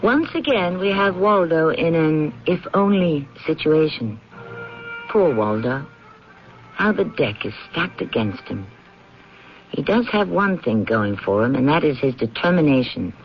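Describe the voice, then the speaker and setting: A man hosting a radio drama with wry, theatrical scripted narration. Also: fairly high pitch. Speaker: host and narrator. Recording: muffled old radio broadcast. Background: music.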